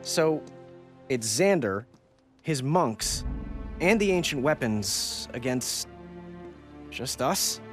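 A man's voice: medium pitch, lively intonation, slow pace, pauses often. An actor playing an earnest, curious teenage boy adventurer in a video game cutscene. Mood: sheepish, eager. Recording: music under the voice.

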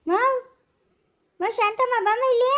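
A woman's voice: very high-pitched, fairly even intonation, normal speed. A woman or girl voicing a fussy, hard-of-hearing old grandmother who frets and calls out. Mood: alarmed. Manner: fretful, scolding, calling out loudly.

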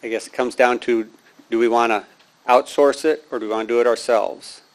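A man's voice: mid-range voice, steady tone, fairly quick. A man giving a committee report to a county board, plain-spoken and hesitant with many ums, in a large meeting room.

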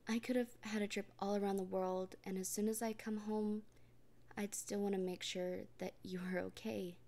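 A woman in a soft, gently reassuring comfort roleplay, often speaking in a whisper. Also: medium pitch, little pitch movement, moderate pace. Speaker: roleplay performer speaking as a partner.

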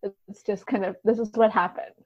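A woman's voice: medium pitch, steady tone, normal speed, husky, dry recording, muffled recording. A woman speaking as a podcast guest in a casual literary discussion, informally hedging her points with many small filler phrases. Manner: hedging, informal, repeats "kind of" and "like".

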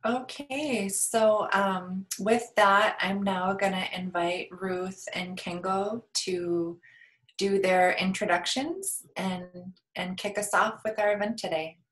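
A woman's voice: mid-range voice, slow pace, fairly bright tone, rough recording. A woman casually moderating an online event as co-host from a room at home.

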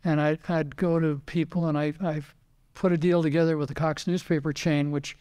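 A man's voice: fairly high pitch, level tone, moderate pace, a little mellow, clear, resonant tone. An older American man reminiscing casually in an interview, telling anecdotes and acting out remembered dialogue. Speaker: retired racing driver and team owner, interview guest.

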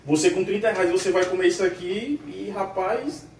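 A young man with a Northeastern Brazilian accent chatting casually while cooking at home, relaxed and slangy. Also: sits high, normal speed, recorded from a distance, a little hiss or hum. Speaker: husband cooking. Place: small tiled home kitchen. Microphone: camera held a few meters away. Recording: echoey kitchen.

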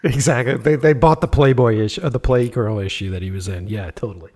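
A man's voice: medium pitch, fast talker, a little mellow. A man hosting a podcast interview, enthusiastic and casual, laughing often and swearing freely. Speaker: podcast host.

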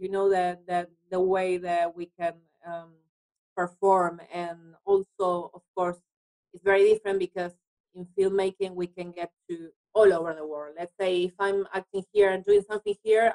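A woman's voice: on the low side, big pitch swings, moderate pace, vocal fry, a little mellow, low-quality recording. Argentinian woman with a Spanish accent. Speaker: guest, actress. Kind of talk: conversational interview.

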